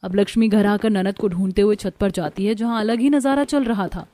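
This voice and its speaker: A woman's voice: mid-range voice, a little fast, dark tone, dry recording. A woman narrating a scripted cartoon family story.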